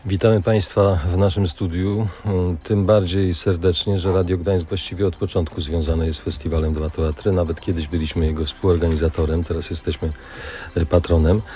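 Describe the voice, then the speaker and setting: Mellow, low-voiced male radio interviewer. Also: level tone, normal speed. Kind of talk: conversational studio interview. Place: radio studio.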